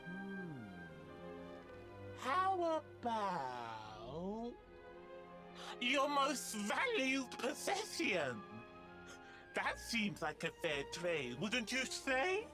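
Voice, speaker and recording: A woman's voice: mid-range voice, huge pitch swings, very slow, over music, heavily acted. A woman voicing a greedy, easily enraged female monster bandit in a video game, taunting and melodramatic. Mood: mocking, scheming.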